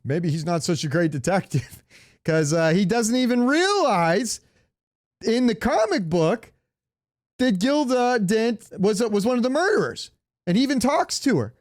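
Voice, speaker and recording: A man's voice: high voice, big pitch swings, unhurried, dry recording, studio quality. A man co-hosting a podcast, chatting casually with informal, opinionated, sweary talk. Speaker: podcast co-host. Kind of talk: casual chat about comic book movies.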